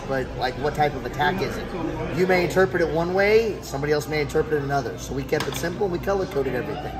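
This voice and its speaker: A middle-aged man giving a casual, explanatory demo as a game creator at a table in a busy indoor venue. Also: a little high. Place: busy indoor convention hall.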